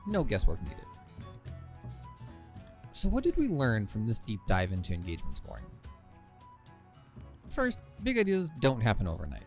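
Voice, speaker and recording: A man's voice: lowish voice, wildly swooping pitch, normal speed, pauses often, faint music behind. A man hosting a podcast and reading his own scripted book narration, with wry, self-deprecating asides. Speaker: podcast host and author.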